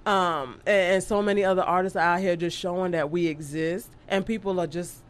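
A woman in her thirties from New York, an attorney chatting casually, animated and laughing as she acts out what people say. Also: on the low side, brisk. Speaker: attorney, guest. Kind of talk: casual podcast chat.

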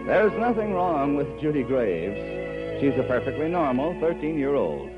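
A man's voice: on the high side, sing-song, measured pace. A man announcing a 1940s radio show with formal, showmanlike scripted delivery. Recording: muffled old radio broadcast. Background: music.

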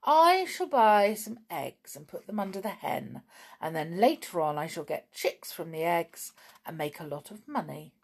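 Sneering male goblin, done by a woman, scheming, scolding, accusing, lively pitch, storybook. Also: moderate pace, vocal fry, husky, full character voice.

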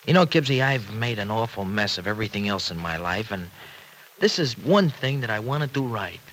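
An actor in a vintage American radio drama playing a rough-edged, soft-hearted regular guy with a slangy, hesitant American way of talking. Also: on the low side, expressive, brisk. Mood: earnest, regretful. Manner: slangy, hesitant, plain-spoken. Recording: noisy old radio show recording.

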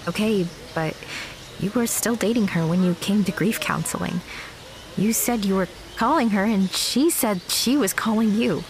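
A woman's voice: medium pitch, moderate pace, a little hiss or hum, natural voice, low-quality recording. An actress playing a candid, guarded young woman in a naturalistic audio drama, talking in a casual, direct way. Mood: confronting. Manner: frank, casual, conversational.

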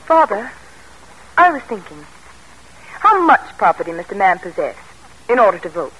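An actress playing a clever, earnest and devoted seventeen-year-old daughter with refined, polite delivery in an old-time radio drama. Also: female voice, mid-range voice, very animated, moderate pace, a bit bright, slightly rough. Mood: earnest, eager. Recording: noisy old radio broadcast recording.

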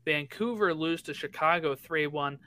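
Guy reading off scores fast on a soccer podcast, bright sharp voice. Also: on the high side, dry recording. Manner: rattles through results quickly. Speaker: podcast co-host. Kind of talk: casual sports chat.

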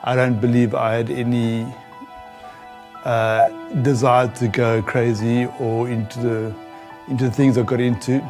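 A man's voice: medium pitch, little pitch movement, a little mellow. Older Southern African man over music. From Zambia. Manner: halting, reflective. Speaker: guest giving a testimony. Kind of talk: personal testimony storytelling.

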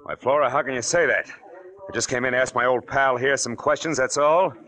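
An actor playing a wry, tough private detective in an old radio drama, with clipped, wisecracking noir delivery. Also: male voice, mid-range voice, fairly quick, sharp tone, close to an everyday voice, low-quality recording. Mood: sardonic. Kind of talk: old-time radio drama narration and acting.

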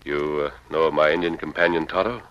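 An actor playing a grave, authoritative Western lawman hero, speaking formally and with measure in a resonant American baritone, in radio drama style. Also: fairly even intonation, brisk, fairly bright tone, no echo. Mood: assured, earnest. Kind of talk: old-time radio western acting. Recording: dull, dry vintage radio recording.

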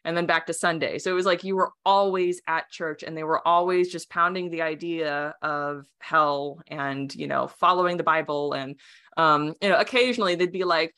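Young woman, romanian was her first language, rambles w lots of ums, bright tone, kinda low pitched. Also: normal speed. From United States. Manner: rambling, many fillers and restarts, laughs often. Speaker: podcast guest. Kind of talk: casual interview chat.

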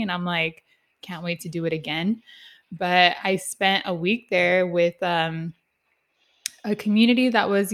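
Woman podcast host, chatty, laughs a lot, kinda low voice. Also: a bit bright. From San Diego, USA. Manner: chatty, laughing, tells anecdotes. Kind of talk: casual interview chat.

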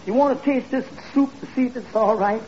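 A man's voice: very high voice, brisk, darkish tone. A man playing a deferential, droll tavern waiter in 1940s radio comedy. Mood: droll, polite. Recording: muffled 1940s radio, faint audience noise.

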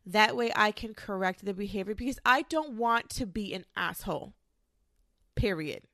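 A woman's voice: mid-range voice, moderate pace, sharp tone, dry recording, studio quality. A young woman with a congested, nasal voice from a cold, giving frank, chatty advice as a podcast host and swearing casually.

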